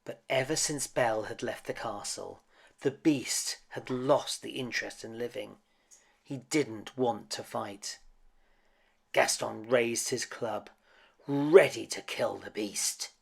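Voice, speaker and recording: A man's voice: mid-range voice, takes it slowly, creaky, breathy edge. A man reading a children's picture book aloud as a dramatic storyteller. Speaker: storybook reader.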